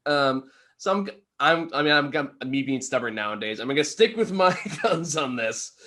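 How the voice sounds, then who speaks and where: A man with a slightly high-pitched voice talks through a film review. He is opinionated and casual, chatting with a co-host and swearing without fuss. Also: lively intonation, normal speed, bright, forceful tone.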